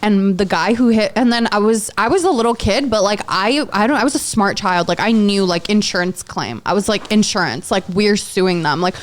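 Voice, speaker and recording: A woman's voice: mid-range voice, moderate pace, hardly any pauses. A young woman from Tajikistan, whose first language is Dari, chatting as a podcast guest and telling stories frankly, packed with "like" fillers. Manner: rambling, full of "like" fillers, frank storytelling. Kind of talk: casual chat.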